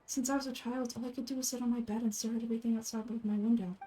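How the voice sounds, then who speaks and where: A woman voicing a gentle, frail young woman in a fantasy game, speaking in a soft, measured, wistful and earnest way. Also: fairly high pitch, fairly even intonation, a little hiss or hum, lightly acted, rough recording.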